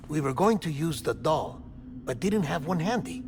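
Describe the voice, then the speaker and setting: An actor playing a wry, caring comrade in a video game cutscene, conversational and explanatory. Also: male voice, a little high, very animated, moderate pace, creaky, background noise. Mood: wry, coaxing.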